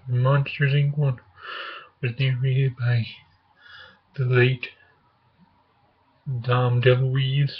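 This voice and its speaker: Casual male presenter, unscripted and halting, slow and flat, dull home recording. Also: mid-range voice, breathy edge. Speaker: presenter of a read-along video. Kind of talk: casual video introduction.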